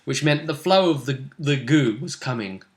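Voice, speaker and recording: A man's voice: mid-range voice, expressive, normal speed. A man speaking as game master, casually narrating a tabletop roleplaying game and checking in with his player in a conversational way. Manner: conversational, addresses the player with tag questions.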